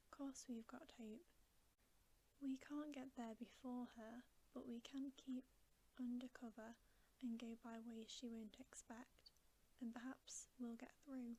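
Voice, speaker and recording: A woman voicing a motherly, practical female beaver in a storybook, in a breathy whisper with a homely, coolly fussing manner. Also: fairly high pitch, fairly even intonation, measured pace, mellow, poor audio. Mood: calm, reassuring.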